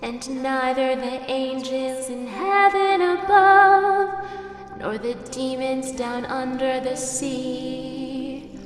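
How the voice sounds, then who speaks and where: A woman singing a poem as a slow lullaby over music. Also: high voice. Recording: long echoing reverb over music.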